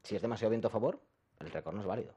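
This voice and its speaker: A man's voice: fairly low pitch, very fast, dark tone, slightly rough. A man hosting a film radio show, talking in a playful, teasing way and making jokey asides. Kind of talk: casual radio banter.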